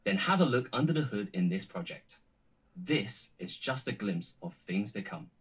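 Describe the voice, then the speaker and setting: Husky, composed male digital human. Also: lowish voice, expressive. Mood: composed, measured. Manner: measured, technical presenter delivery. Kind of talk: scripted tech demo narration.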